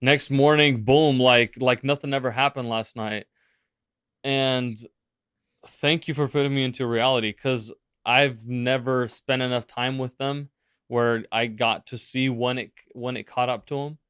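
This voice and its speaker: Young man, podcast host, casual, animated, smooth clear voice, dry sound. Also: mid-range voice, a little slow, no room sound. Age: young adult. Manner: animated, casual, uses "like" often. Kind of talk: interview chat.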